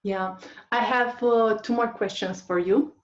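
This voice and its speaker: A woman interviewing a guest over a video call, speaking gently and hesitantly with frequent pauses to gather her words. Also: fairly high pitch, steady tone. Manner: gentle, hesitant, many uh fillers. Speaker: interviewer host. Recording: roomy, dull video call audio.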